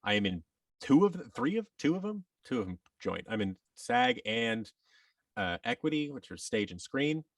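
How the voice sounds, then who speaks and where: A man's voice: medium pitch, huge pitch swings, moderate pace, vocal fry, no echo, far from the mic. A man giving advice as a podcast guest in a casual, rambling, emphatic way, often voicing imagined quotes as he explains.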